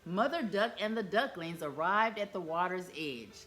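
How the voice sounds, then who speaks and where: A woman storyteller reads a children's picture book aloud, expressive and animated. Her voice is bright and her pitch swings widely. Faint music plays softly underneath. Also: moderate pace.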